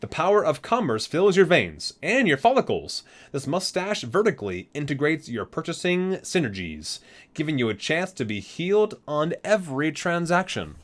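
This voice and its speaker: A man's voice: fairly high pitch, very animated, normal speed. A man doing an ASMR reading, reciting expressively and dropping in casual, murmured offhand remarks. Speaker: video creator reading aloud from a game guide book.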